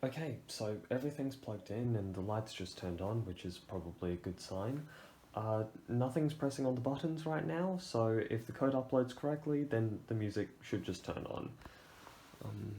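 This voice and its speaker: A young man with a fairly low voice talks casually through a homemade project. His delivery is wry and self-deprecating, in the manner of an informal maker video. There is some background noise in the recording. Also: lively intonation, normal speed, mellow. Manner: wry, dry humour. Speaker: maker presenting a DIY project.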